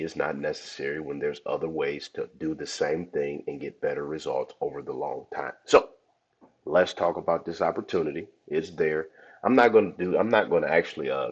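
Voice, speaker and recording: A man with a very deep, husky voice, hoarse from a cold, talks through his podcast in a loose, chatty way. He calls his listeners y'all. The audio sounds dull and lo-fi. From Cleveland, Ohio. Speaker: laundromat podcast host. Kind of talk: casual podcast talk.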